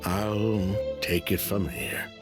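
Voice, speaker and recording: A man's voice: sits low, slow pace, over music. An actor playing an anxious, defensive man in a dramatic video game story, flustered and prone to stammering. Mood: resigned. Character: anxious, defensive man, brother and business partner under suspicion. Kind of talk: English game voice-over, dramatic dialogue.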